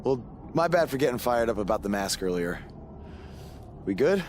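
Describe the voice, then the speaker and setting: An actor playing a young pro wrestler, casual and sarcastic, in a video game cutscene. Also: male voice, mid-range voice, very animated, normal speed, a little mellow, a little hiss or hum, natural voice.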